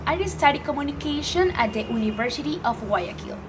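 A teenage girl from Ecuador, a native Spanish speaker, introduces herself to her phone camera in a small room. She speaks cheerful, halting English with an Ecuadorian Spanish accent and often stops to search for words. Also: high voice, expressive, noisy background. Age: teens. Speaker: student vlogger. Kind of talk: casual self-introduction to camera. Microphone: phone front camera at arm's length.

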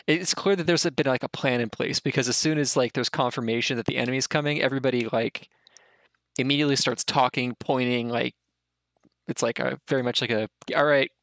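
A man's voice: medium pitch, fairly even intonation, fairly quick, vocal fry, fairly bright tone, no echo. A man in his thirties narrating a tabletop game as game master over a video call, rambling with lots of fillers. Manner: rambling, filler-heavy, often laughing.

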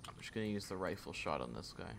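A man giving live commentary over his own gameplay, talking to himself and shouting at enemies as things happen. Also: low-pitched, quick pace, gravelly, background noise. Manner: excitable, talks to himself and at enemies. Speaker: gaming YouTuber.

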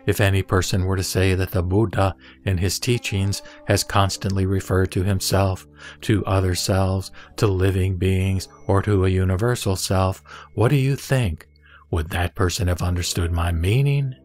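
A man playing the Buddha as a serene teacher, reciting scripture in a measured, solemn audio drama style. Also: sits low, fairly even intonation, darkish tone, lightly acted. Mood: serene, instructive. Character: the Buddha, serene male teacher addressing his disciple. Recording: music behind the voice.

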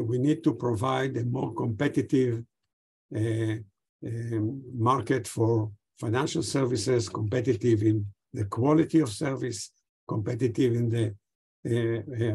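An older man from Israel gives formal opening remarks on a video call, with hesitant pauses. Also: mid-range voice, creaky, mellow, husky. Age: older, 60s or above. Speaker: fintech expert giving opening remarks.

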